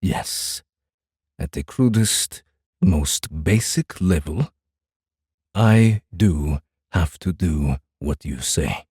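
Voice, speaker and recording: Solemn low-voiced samurai, slow and husky. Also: male voice, expressive, darkish tone, no echo, clean studio sound, full character voice. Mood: grudging. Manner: solemn, formal, deliberate. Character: grave, honor-bound Japanese samurai warrior, centuries old. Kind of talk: dramatized audiobook character acting.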